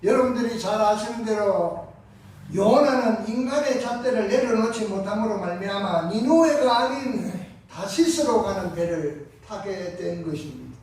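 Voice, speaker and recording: High-voiced Gyeongsang pastor preaching. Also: male voice, expressive, moderate pace. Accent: Gyeongsang Korean. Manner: impassioned preaching, swings between hushed and loud. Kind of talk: Sunday sermon. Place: large church sanctuary. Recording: echoey sanctuary from far off.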